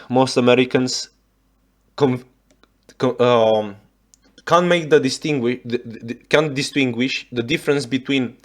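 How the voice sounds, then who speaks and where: A man from Romania speaking English with a Romanian accent as a reaction video host, swearing often and restarting his sentences as he rants in exasperation. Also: mid-range voice. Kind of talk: ranting reaction commentary.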